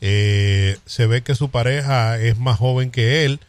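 A man hosting a radio talk show, conversational and quick. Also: medium pitch, dry recording. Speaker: radio host.

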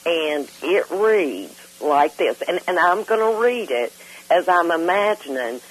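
A woman's voice: lowish voice, expressive, unhurried, rough recording. An older woman calling in to a radio chat show, laughing often as she tells her stories. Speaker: caller.

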